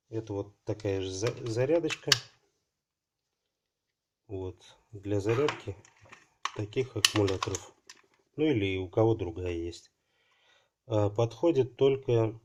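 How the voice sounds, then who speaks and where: A man hosting a casual unboxing video, talking haltingly and thinking aloud while his voice is affected by a cold. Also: fairly low pitch, very noisy. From Russia. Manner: halting, thinking aloud, many fillers like "so" and "there". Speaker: channel host unboxing parcels.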